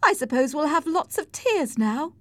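High, melodramatic dying young wife. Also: female voice, sing-song, moderate pace, fairly bright tone, dry recording. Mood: bitter, defiant. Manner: melodramatic, wailing. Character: dying young wife, feverish, self-pitying and defiant. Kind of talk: audiobook character acting.

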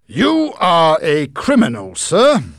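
A man voicing a proud, pompous gentleman in a storybook reading, speaking loudly, formally and declamatorily. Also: mid-range voice, sing-song, measured pace, dry recording, studio quality, pitched above the performer's own voice. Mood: defiant. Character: proud, pompous middle-aged gentleman clerk.

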